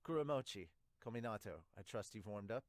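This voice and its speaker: A man voicing a stern, composed baseball coach with a measured, firm delivery in an amateur manga dub. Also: lowish voice, lively intonation, no room sound, clean studio sound, natural voice.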